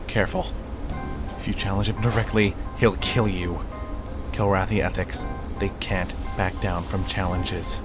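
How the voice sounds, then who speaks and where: A man playing a level-headed military pilot in a sci-fi audio drama, measured and pragmatic. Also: low voice, steady tone, over music. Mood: cautioning.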